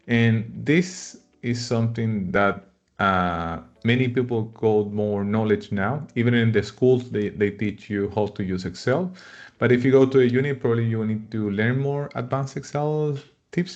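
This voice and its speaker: Man from Chile hosting a webinar, lo-fi. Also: medium pitch. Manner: friendly, non-native phrasing with frequent fillers. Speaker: webinar host. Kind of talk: live webinar presentation.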